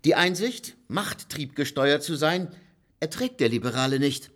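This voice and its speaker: An actor playing a legal theorist who lectures a circle of gentlemen, emphatic and insistent. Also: male voice, on the high side, animated, moderate pace. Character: lecturing jurist, cold and insistent. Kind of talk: radio play acting.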